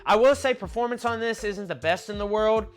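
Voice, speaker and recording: A man's voice: high-pitched, fairly quick, soft background music. A young man in his twenties with a stuffy, nasal voice, chatting casually through a fragrance review. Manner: chatty, conversational, lists notes in a relaxed flow. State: nasal from allergies.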